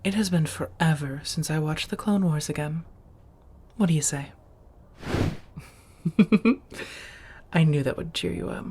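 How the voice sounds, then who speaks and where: A woman playing an affectionate, teasing vampire roommate in a soft-spoken ASMR roleplay, breathy and intimate, often half-whispering. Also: sits low, unhurried, long pauses, slight background noise. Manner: intimate, teasing, coaxing with pet names.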